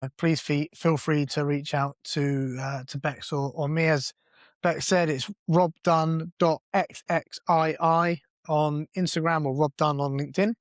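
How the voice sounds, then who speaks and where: A man's voice: a little high, flat delivery, a little slow, dry recording, clean studio sound. A man co-hosting a business podcast, talking bluntly and casually, with some swearing and self-mockery. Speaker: podcast co-host.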